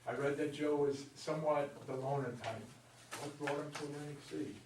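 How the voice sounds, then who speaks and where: A man's voice: on the high side, little pitch movement, fairly quick, recorded from a distance, natural voice. Blunt husband in an echoey, noisy room, read by a man. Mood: weary musing. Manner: blunt, colloquial, grumbling. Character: brash, defensive, world-weary gambler husband and breadwinner. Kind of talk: amateur staged play reading. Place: indoor room at a live reading.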